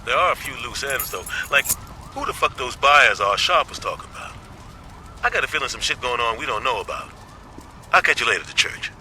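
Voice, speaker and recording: A suspicious, foul-mouthed street gangster in a game cutscene. His mid-pitched voice is very sharp and forceful, with faint street noise behind it. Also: animated, a little fast. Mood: wary, blunt. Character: tough, suspicious male street gang member. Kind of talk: video game cutscene acting.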